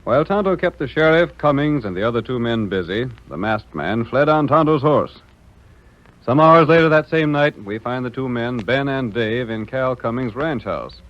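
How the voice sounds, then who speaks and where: An American man performing as a measured, dramatic announcer, narrating an old-time radio Western serial. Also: medium pitch, animated, fairly bright tone, lightly acted. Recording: muffled old radio recording.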